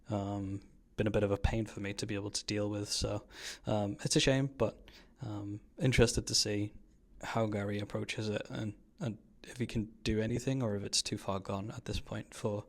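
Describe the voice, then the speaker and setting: A man's voice: on the low side, little pitch movement, moderate pace, vocal fry. A man giving casual, informal voice-over commentary on a card game he is playing, explaining moves in slangy language. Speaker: card game player commentating his own match.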